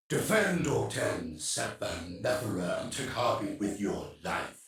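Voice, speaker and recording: A man's voice: on the low side, fairly bright tone, heavily acted. A man voicing an imperious, ancient machine pharaoh in a video game, stately, formal and cold. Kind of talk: dramatic video game cutscene acting. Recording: heavy cavernous echo, distant, under music.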